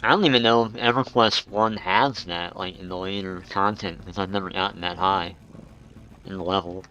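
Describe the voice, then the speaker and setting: A man's voice: sits low, fairly even intonation, normal speed, sharp tone, muffled recording. A man in his forties chatting casually as he streams a game, rambling and musing aloud. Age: 40s. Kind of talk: casual live-stream chat while gaming.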